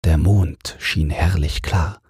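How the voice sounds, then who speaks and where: A man reading a fairy tale as a bedtime audiobook in a measured, soothing storytelling manner. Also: low voice, steady tone, darkish tone, slightly rough, no echo, studio quality. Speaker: audiobook narrator.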